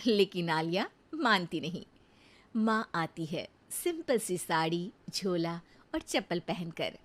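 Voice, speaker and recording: A woman narrating a cartoon moral story in an unhurried, expressive way. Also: on the low side.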